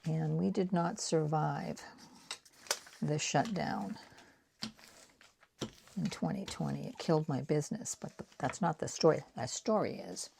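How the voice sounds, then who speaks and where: A woman in her sixties with a light Boston accent, chatting casually and rambling as she makes art prints, dropping into soft whispered asides while she works. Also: deep voice, animated, a little slow, vocal fry, long pauses, background noise. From New England, United States. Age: 60s. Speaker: artist and craft vlogger.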